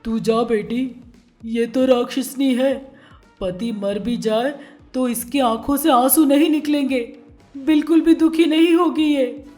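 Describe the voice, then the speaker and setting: A woman's voice: unhurried, darkish tone, soft background music. A woman voicing a weak, guilt-ridden father who speaks sorrowfully. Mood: bitter. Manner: sorrowful, apologetic, lower pitched.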